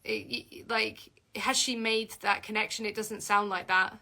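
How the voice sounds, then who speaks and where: A woman's voice: fairly even intonation, measured pace, bright, forceful tone. A woman hosting a casual livestream Q&A, reading out viewer comments and answering with many fillers and restarts. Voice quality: deep voice for a woman.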